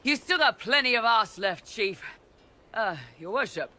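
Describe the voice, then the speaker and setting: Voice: mid-range voice, lively intonation, a little slow, pushed and bright, slight background noise. A performer voicing a cheeky young male mercenary lieutenant in a video game, with a dry, teasing manner. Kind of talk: video game cutscene acting.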